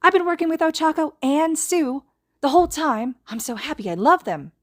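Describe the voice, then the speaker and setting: A woman's voice: high voice, animated, moderate pace, dry recording, clean studio sound, voice pushed up. A woman voicing a bubbly, giddy young woman who gushes with delight in a fan audiobook.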